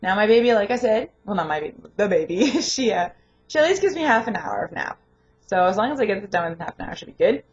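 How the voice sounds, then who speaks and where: Hoarse woman chatting in a home vlog. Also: medium pitch, animated, quick pace, muffled recording. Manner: rambling, laughs often. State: hoarse, voice not fully recovered. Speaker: vlogger and home daycare provider. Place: her home, indoors.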